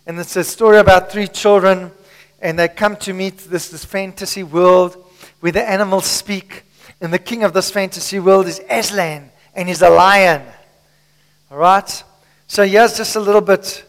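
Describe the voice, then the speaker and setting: A male pastor preaching a rousing sermon in a large church, calling the congregation to answer back. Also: sits high. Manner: rousing, call-and-response preaching. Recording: long church hall reverb.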